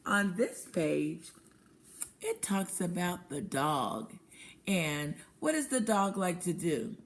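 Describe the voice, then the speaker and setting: Slow-paced woman teacher reading to children. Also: lowish voice, big pitch swings. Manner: asks questions, pauses, then affirms answers; repeats and explains words simply. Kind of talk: interactive picture-book read-aloud lesson.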